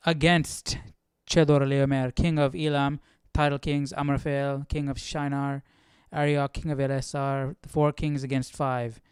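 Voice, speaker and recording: A man's voice: mid-range voice, flat delivery, a little slow, clean tone, no room sound, clean studio sound. A man hosting a casual Bible study, reading aloud and informally thinking through it, often repeating himself and checking his understanding. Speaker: host of a live Bible study.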